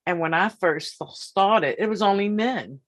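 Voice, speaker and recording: A woman in her fifties hosting an interview, talking in a slow, emphatic way and repeating her points. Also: mid-range voice, lively intonation. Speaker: podcast host. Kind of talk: casual interview.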